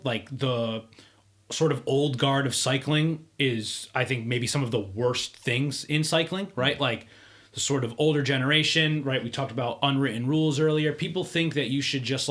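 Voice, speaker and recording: A man hosting a casual podcast chat, talking loosely with lots of "like" and "right?" fillers. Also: medium pitch. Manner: relaxed, many "like" and "right?" fillers. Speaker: podcast host.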